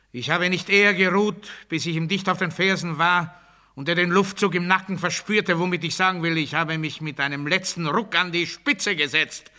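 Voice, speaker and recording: An actor playing a pompous authoritarian demagogue in a satirical radio play, giving a declamatory, bureaucratic and commanding speech. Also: male voice, high voice, sharp tone, exaggerated voice. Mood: proud, doctrinaire. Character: pompous authoritarian male demagogue drilling the masses.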